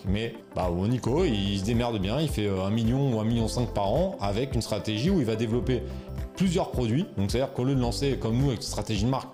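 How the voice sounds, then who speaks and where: A man coaching viewers on selling online, talking casually with slang, fillers and restarts. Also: medium pitch. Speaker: e-commerce coach. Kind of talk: casual explainer video.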